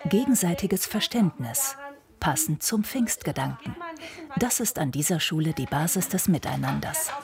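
A woman reading a scripted news report in a calm, measured way. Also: sits low, gravelly, crowd noise. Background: music bed.

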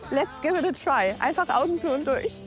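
A woman's voice: sits high, lively intonation, fairly quick. A woman chatting casually and candidly as a participant in a reality show. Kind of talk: casual chat and to-camera remarks in a reality show. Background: music.

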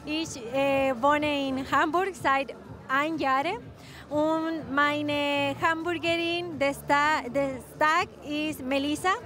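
High, bright woman with Chilean Spanish accent. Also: fairly even intonation, slow. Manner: hesitant, learner's speech. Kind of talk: halting self-introduction.

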